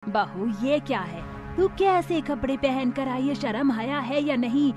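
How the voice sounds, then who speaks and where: A woman's voice: high voice, lively intonation, a little slow, over music. A woman voicing a proud, stern middle-aged mother-in-law in a cartoon family melodrama. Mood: indignant scolding.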